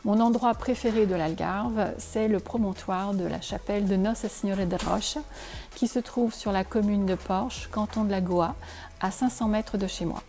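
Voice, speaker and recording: A woman whose native language is Portuguese gives a measured, articulate scripted presentation as a real estate advisor. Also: mid-range voice, warm tone. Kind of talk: scripted promotional presentation to camera. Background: faint music.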